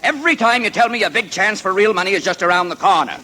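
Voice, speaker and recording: Needling, scornful boss. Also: male voice, high voice, lively intonation, fast talker, pushed and bright, slight background noise. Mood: lecturing. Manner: lecturing, needling. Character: blunt, scornful company boss. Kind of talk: 1940s radio acting.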